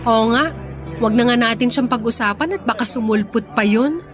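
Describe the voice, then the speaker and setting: An actress playing a young woman in a radio drama, speaking in natural, expressive dialogue. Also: on the high side, normal speed, close to an everyday voice. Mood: light, shy. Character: apologetic young woman, grateful but fiery when provoked. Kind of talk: radio drama acting, conversational. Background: music bed.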